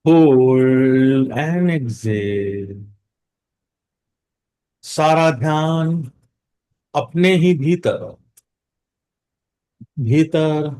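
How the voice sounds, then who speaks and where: Indian guy doing guided meditation, super slow calm instructions, lots of pauses, voice a bit on the high side. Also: clean tone. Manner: calm, instructive, long pauses between short phrases. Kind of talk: guided meditation, mixing Hindi and English.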